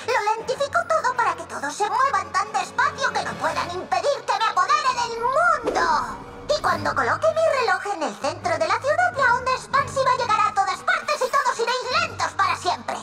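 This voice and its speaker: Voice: very high-pitched, very animated, brisk, loud music behind the voice, heavily acted. An actor playing a gleeful, boastful boy genius villain in a cartoon dub, gloating theatrically. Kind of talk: animated children's series dub.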